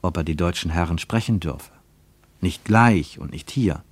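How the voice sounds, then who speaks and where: A man as the narrator of a radio play travelogue, reading literary prose in a measured, reporting manner. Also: deep voice, dry recording. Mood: measured, observant.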